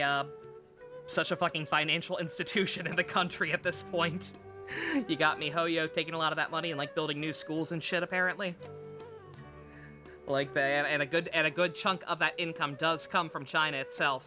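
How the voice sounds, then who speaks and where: A woman live streaming a game with casual, candid commentary, rambling and stammering, with frequent swearing. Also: deep voice. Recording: game music behind the voice.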